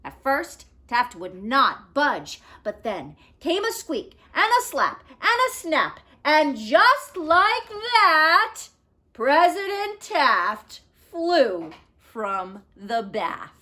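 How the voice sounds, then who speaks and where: High, bright-voiced woman reading to children. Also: big pitch swings, extremely slow. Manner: explanatory, addressing young listeners. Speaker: teacher reading a picture book aloud. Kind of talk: expressive children's read-aloud with explanations.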